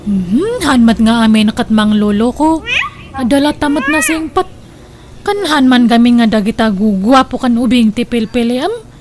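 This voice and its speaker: A woman's voice: on the high side, animated, normal speed, darkish tone, close to an everyday voice. A woman playing a pushy, teasing older sister with an Ilocano accent in a comedy radio drama, nagging and exclaiming. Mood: coaxing, insistent. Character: pushy, teasing older sister urging younger sister toward old suitor. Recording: noisy recording.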